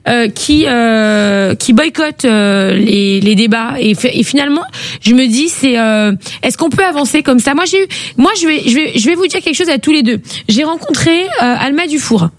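A woman's voice: fairly high pitch, animated, moderate pace, no room sound. A woman in her forties hosting a radio talk show, chatting casually and often hesitating and restarting. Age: 40s. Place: radio studio. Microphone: studio microphone.

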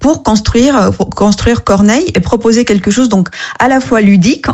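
A woman whose first language is not French, a guest on a radio interview who explains her project in a measured, hesitant way. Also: fairly high pitch, a little mellow. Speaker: app founder and guest.

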